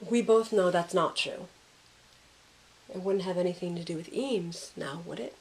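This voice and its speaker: A woman playing a concerned, inquisitive young best friend in an amateur audio drama, expressive and probing. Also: fairly low pitch, moderate pace, slight background noise, lightly acted, poor audio.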